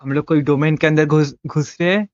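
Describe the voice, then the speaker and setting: A man's voice: a little high, fairly even intonation, rapid-fire, a little mellow, muffled recording. A man livestreaming a video game, reacting casually over the gameplay. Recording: game music behind.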